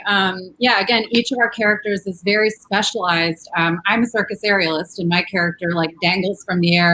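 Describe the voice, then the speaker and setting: A woman with a husky, slightly breathy voice speaks on a video call. She explains things clearly and with real enthusiasm. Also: medium pitch, fairly bright tone, poor audio. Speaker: cast member. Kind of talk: casual video call interview.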